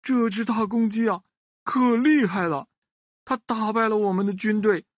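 A man putting on a squeaky frantic mother hen. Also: fairly even intonation, dry recording. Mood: distressed. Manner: rapid, pleading, high-pitched. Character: frightened mother hen, female, complaining.

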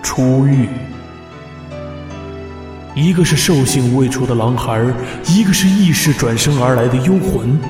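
A solemn storyteller voiced by a man narrates a martial-arts drama in literary phrases. His medium-pitched voice rings with long echoing reverb over background music. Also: a little slow, long pauses, lightly acted. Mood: solemn, wistful. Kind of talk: scripted narration for period audio drama. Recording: very echoey reverb under music.

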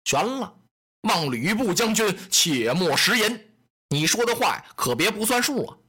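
A fretful, scheming general mutters his doubts in a low, grumbling voice, played by a storyteller. The tone is husky and bright. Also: big pitch swings, moderate pace, exaggerated voice. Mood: doubtful. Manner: grumbling, suspicious. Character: anxious scheming rival general.

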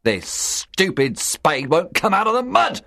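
A man voicing a bossy, hot-tempered schoolboy bully who shouts orders impatiently. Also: fairly high pitch, sing-song, measured pace, dry recording, clean studio sound, pitched above the performer's own voice. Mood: angry.

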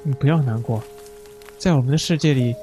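A man playing a gentle, awkward, conscientious stranded traveler in a sci-fi radio drama. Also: mid-range voice, brisk, close to an everyday voice. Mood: gentle, subdued. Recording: music bed under the voice.

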